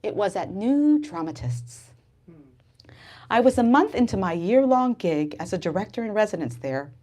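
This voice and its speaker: A woman's voice: medium pitch, expressive, moderate pace, mellow. A woman from the United States, an author speaking expressively about her novel in a dramatic, emphatic manner. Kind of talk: expressive literary reading and book talk. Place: live bookstore event.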